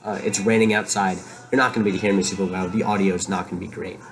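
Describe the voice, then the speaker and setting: A young man hosting a rambling solo monologue from a room in a shared house, talking quietly and musing aloud with lots of fillers. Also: low-pitched, flat delivery, racing. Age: young adult. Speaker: podcast host. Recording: quiet home recording with music behind. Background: faint music playing.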